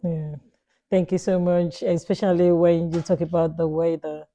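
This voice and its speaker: Woman, podcast host, low pitch, mellow tone, hesitant, repetitive. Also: level tone, moderate pace. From Nigeria. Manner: repetitive, hesitant with many fillers. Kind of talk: casual panel discussion.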